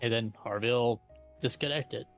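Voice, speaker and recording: A man's voice: medium pitch, moderate pace, gravelly, a bit bright, soft background music. A man chatting casually as a player in a tabletop roleplaying game.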